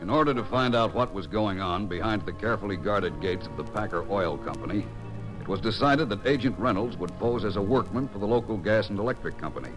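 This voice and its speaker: A man's voice: lowish voice, expressive, brisk, music in the background, lightly acted. An American man playing a sober federal investigator, narrating a radio crime drama in a formal, matter-of-fact way. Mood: sober, official. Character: sober, official male FBI investigator narrating case. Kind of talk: scripted 1940s-50s radio crime narration.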